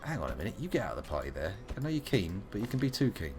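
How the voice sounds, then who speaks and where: A man's voice: low-pitched, very animated, racing, darkish tone. A young man streaming a video game live, playful and joking, with muttered asides.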